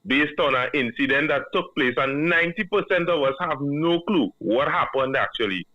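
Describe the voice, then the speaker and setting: A man with a Trinidadian accent, calling in to a radio show and giving a measured, earnest opinion. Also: fairly high pitch, expressive, no room sound. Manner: measured, earnest, reasoning aloud. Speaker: caller. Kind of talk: call-in radio commentary. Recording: dull, dry phone line.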